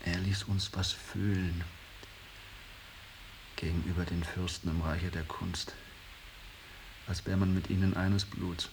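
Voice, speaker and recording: A low-voiced embittered, idealistic painter in a radio play. He speaks very slowly, with solemn, rhapsodic fervour that can rise from a hush to an outburst. Faint tape hiss runs under the studio recording. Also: flat delivery. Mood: reverent, nostalgic. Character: Lachmann, embittered, idealistic male painter revering his old master. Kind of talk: 1980s German radio drama acting.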